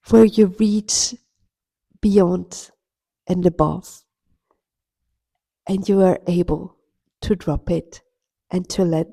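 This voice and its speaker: A woman speaking as a spiritual healer, giving an earnest, soft-spoken coaching monologue straight to her listener. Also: fairly low pitch, vocal fry, slightly rough, no room sound. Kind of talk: reflective motivational monologue.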